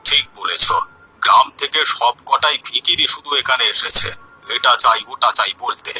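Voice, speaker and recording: A man playing a grumpy, greedy fake holy man in a cartoon story, gruff, impatient and haughty. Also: medium pitch, normal speed, bright, forceful tone, soft background music, poor audio. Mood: haughty, demanding. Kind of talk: Bengali cartoon story dialogue.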